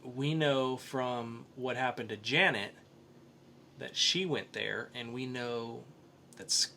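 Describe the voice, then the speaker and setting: A man with a bright, forward voice talks casually about films as a podcast host. He is opinionated and likes to explain his reasoning, at an average pitch for a male speaker. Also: slow pace, gravelly, a little hiss or hum. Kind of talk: casual film review chat.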